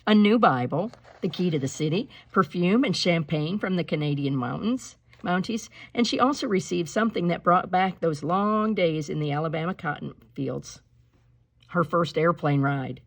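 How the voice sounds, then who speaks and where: Husky woman reading a storybook aloud. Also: on the low side, expressive. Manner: emphatic and dramatic, slowing on key words. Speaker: reader of a children's picture book. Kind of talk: expressive read-aloud storytelling with spoken asides.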